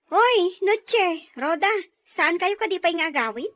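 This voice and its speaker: A woman acting a supportive young office friend in an audio drama, chatty and expressive. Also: very high-pitched, unhurried, no room sound, heavily acted.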